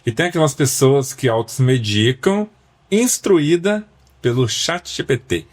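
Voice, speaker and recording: A man's voice: mid-range voice, animated, measured pace. A man, hosting a podcast interview, asking questions and summing up with friendly tags. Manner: friendly, prompting, sums up with filler tags. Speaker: podcast host.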